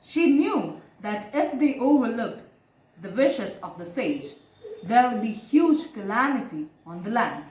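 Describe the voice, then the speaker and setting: A woman narrating a moral story as an amateur storyteller, in an expressive, deliberate way. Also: on the high side. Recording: roomy, distant mic, faint noise.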